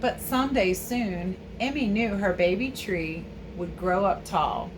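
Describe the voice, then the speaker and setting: A woman's voice: medium pitch, measured pace, noisy background. A woman reading and explaining to young viewers as a children's read-aloud teacher and host.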